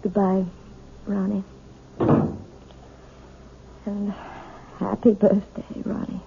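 An actress playing a timid, proper wife who gives piano lessons, speaking gently and nervously in a 1970s radio drama. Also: female voice, medium pitch, monotone, slow pace, creaky, heavy background noise. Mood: nervous, prim. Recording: tinny, narrow old radio broadcast.

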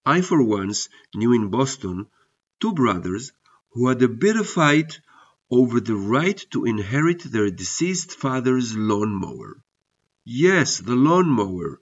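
A man whose first language is not English, hosting a podcast and narrating stories in a reflective, measured way, with hesitant fillers when he speaks off-script. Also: mid-range voice. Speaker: podcast host. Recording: muffled lo-fi recording.

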